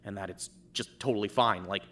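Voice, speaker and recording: A man plays a shaken teenage boy who halts and stammers, tripping over his own words. The voice sits low and bright. It is a fan audio drama performance. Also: slight background noise. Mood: ashamed, halting. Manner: halting, stammering, repeats words.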